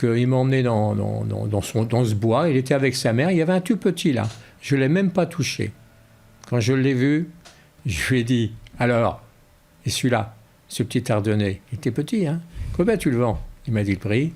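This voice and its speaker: A man's voice: medium pitch, creaky, a little mellow, breathy edge. A man being interviewed in a casual way, repeating words as he searches for his phrasing. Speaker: interview guest, horse-drawn carriage driver.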